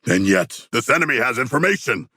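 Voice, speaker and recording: Huge, formal, bloodthirsty shark warrior. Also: male voice, mid-range voice, big pitch swings, a little fast, pushed and bright, breathy edge, no room sound, studio quality, exaggerated voice. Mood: formal, sincere. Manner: formal, grandiose, literal-minded diction. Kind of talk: video game character voice lines.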